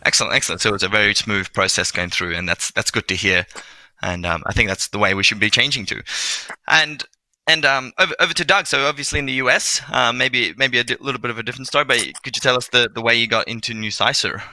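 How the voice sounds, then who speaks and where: A man's voice: medium pitch, fast, sharp tone, no room sound, low-quality recording. A man moderating a panel discussion in an encouraging, conversational way, putting questions to guests.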